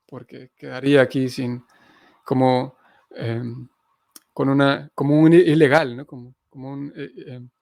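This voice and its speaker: Male spiritual lecturer. Fry-laden voice, close dry sound, thoughtful explanatory delivery. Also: a little high, no room sound. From Honduras. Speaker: Hare Krishna missionary teacher. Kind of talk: spiritual lecture, reading scripture aloud and explaining it.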